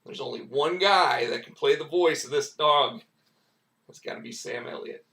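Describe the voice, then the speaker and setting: A man hosting a casual live stream, going hoarse and rambling with lots of fillers and restarts. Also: on the high side, normal speed, sharp tone, reverberant, recorded from a distance. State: losing his voice, hoarse. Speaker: comic book writer and stream host.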